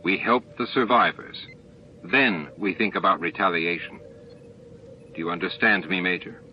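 A man's voice: deep voice, normal speed, slight background noise, natural voice. A man voicing a firm, authoritative male starship captain in an audiobook. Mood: stern. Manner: firm, commanding. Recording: dull lo-fi audiobook tape recording.

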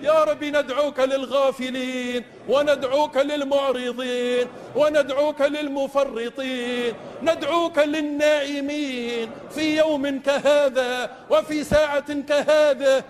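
A man's voice: extremely high pitch, steady tone, unhurried, few pauses. A man leading a congregational supplication as imam, pleading with passion in chanted, repeated phrases. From Tunisia. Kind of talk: Islamic supplication prayer in classical Arabic, chanted oratory. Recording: long echoing reverb of large space.

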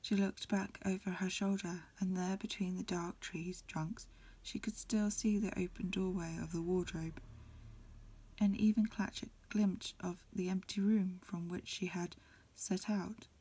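Breathy whispering woman reading a story, creaky. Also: on the low side, little pitch movement, a little slow, mellow. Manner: hesitant reading with stumbles and repeats. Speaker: amateur reader. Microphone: close recording, lo-fi home setup.

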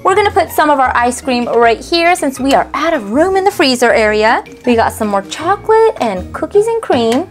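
A woman's voice: high-pitched, very animated, normal speed, few pauses, soft background music. A woman narrating a toy unboxing in a cheerful, sing-song voice-over, naming each item as she stocks a miniature store.

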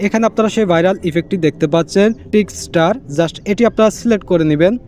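A man's voice: sits high, fast, a little mellow, a little hiss or hum. A man hosting a casual how-to tutorial, explaining step by step, repeating himself and dropping in English catchwords. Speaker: tech tutorial host. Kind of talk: casual screen-recorded how-to narration.

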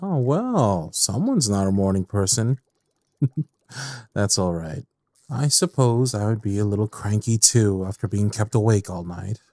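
Guy playing an evil scientist villain, audio drama, sadistic n mocking, raspy low voice, takes his time. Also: expressive, a little mellow, no room sound. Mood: mockingly cheerful. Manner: theatrical, taunting, measured.

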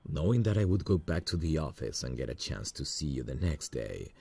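A man acts out a tender, earnest male boss in a romantic audio roleplay. His voice sits extremely low and is husky and full of fry. He speaks intimately and hesitantly, like someone nervous and in love. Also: sing-song, heavily acted. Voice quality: very deep, gravelly, husky. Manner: hesitant, slow, soft-hearted.

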